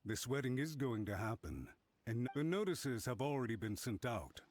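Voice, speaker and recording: A man playing a haughty, scheming clan official in a game, pompous, indignant and sarcastic, with a husky voice. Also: mid-range voice, big pitch swings, normal speed, darkish tone, slight background noise, close to an everyday voice. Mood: smug insistence. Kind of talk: game voice acting.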